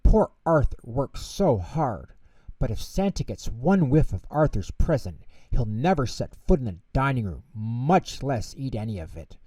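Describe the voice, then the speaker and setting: A man's voice: medium pitch, sing-song, unhurried, mellow. A man voicing a bossy but caring little girl in a children's storybook, recorded in a roomy space. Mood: worried. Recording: roomy echo, distant microphone.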